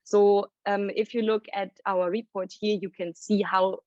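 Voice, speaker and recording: A woman presenting findings as a report author at a panel launch, explaining at length and thinking aloud with pauses. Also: medium pitch, level tone, darkish tone, dry recording. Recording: dry, dull lo-fi sound.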